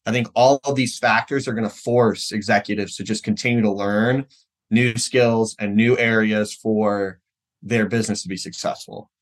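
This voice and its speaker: A man's voice: on the low side, fairly even intonation, normal speed. A man speaking casually as a podcast co-host, often checking in with a questioning tag as he discusses.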